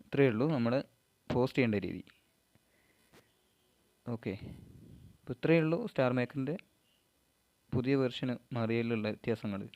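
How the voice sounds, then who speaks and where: A man from Kerala, giving an informal step-by-step tutorial in halting, short phrases. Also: mid-range voice, darkish tone, lo-fi sound. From Kerala, India. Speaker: amateur vlogger giving an app tutorial.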